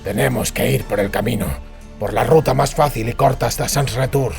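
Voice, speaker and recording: A man's voice: mid-range voice, brisk, creaky, soft background music, close to an everyday voice. A man voicing a grim, decisive monster hunter in an audiobook, terse and commanding. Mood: firm, urgent. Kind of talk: dramatised audiobook character.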